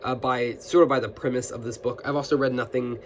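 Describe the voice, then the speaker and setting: A man's voice: medium pitch, fairly even intonation, brisk. Man with a scratchy post-illness voice. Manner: friendly, with many fillers and restarts. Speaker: booktuber. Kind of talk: casual book haul chat.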